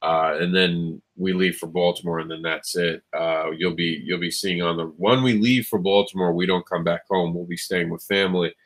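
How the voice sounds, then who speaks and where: A man's voice: low-pitched, steady tone, moderate pace, hardly any pauses. A man with a nasal, slightly scratchy voice from a lingering cold, hosting a casual live show and rambling with many ums and restarts. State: has a cold, scratchy throat. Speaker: show host. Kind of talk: casual livestream chat.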